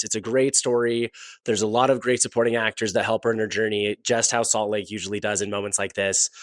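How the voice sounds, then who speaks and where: A man hosting a local podcast, chatting loosely and rambling through restarts. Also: medium pitch. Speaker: podcast host. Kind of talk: casual interview chat.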